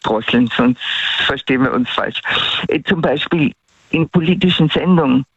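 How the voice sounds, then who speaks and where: A middle-aged Tyrolean man talking in a higher register, wandering from point to point with lots of "äh" and false starts. His voice comes through dull and lo-fi over a radio line, dry with no room around it. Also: normal speed, breathy edge, dry recording. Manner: rambling, digressive, many fillers and restarts. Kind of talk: casual radio call-in chat.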